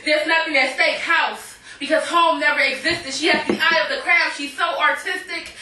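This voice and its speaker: A woman's voice: sits high, steady tone, moderate pace, sharp tone. A woman poet performing a live spoken-word reading of verse. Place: indoor cafe poetry night. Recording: echoey live room, distant microphone.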